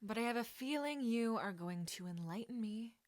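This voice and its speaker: A woman's voice: fairly high pitch, expressive, moderate pace, dry recording, lightly acted. Lady reading as a cocky teen wizard boy, sarcastic and grumbly, storybook style, dry close recording. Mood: teasing. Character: cocky teenage wizard boy, sardonic, brushing off concern.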